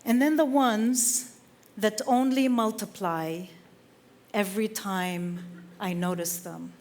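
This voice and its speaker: A woman from Lebanon giving an inspirational stage talk, slow and measured with dramatic pauses between phrases. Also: mid-range voice, fairly even intonation, darkish tone. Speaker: speaker. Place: theater stage.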